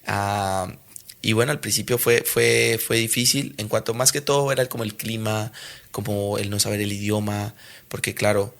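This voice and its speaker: A young man with a Colombian Spanish accent talks casually in an interview. His low voice has a gravelly edge and stays fairly level in pitch. He hesitates and restarts often, picking up when recalling stories. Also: fairly even intonation, moderate pace, a bit bright. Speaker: professional footballer guest.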